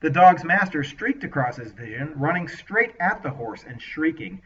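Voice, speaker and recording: A man narrating his own story in a bright, forceful voice. He reads evenly and steadily. The recording sounds slightly off-mic, with a dull, lo-fi sound. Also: fairly high pitch, moderate pace, far from the mic. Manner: relaxed, hesitant chat with fillers after the reading. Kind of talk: audiobook narration.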